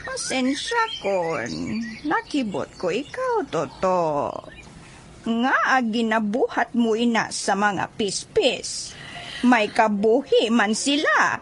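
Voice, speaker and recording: A woman's voice: on the high side, very animated, slow, slight background noise. A woman acting a mysterious, solemnly admonishing old woman of the forest in a radio drama, with a Western Visayan Filipino accent. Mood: solemn warning.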